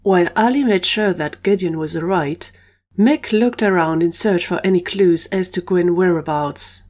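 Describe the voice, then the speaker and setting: A woman's voice: lowish voice, moderate pace, a little mellow. Woman narrating an audio drama.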